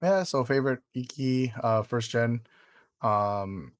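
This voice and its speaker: A man's voice: medium pitch, slow. A man co-hosting a podcast in casual chat, rambling with lots of fillers and dropping "dude" often; his first language was Vietnamese. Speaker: podcast co-host.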